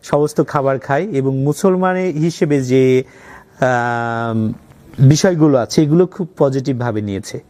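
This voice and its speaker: A man's voice: mid-range voice, measured pace, dark tone. A man with a Bangladeshi accent telling his own story conversationally as an interview guest, mixing in English words. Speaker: interview guest, college owner.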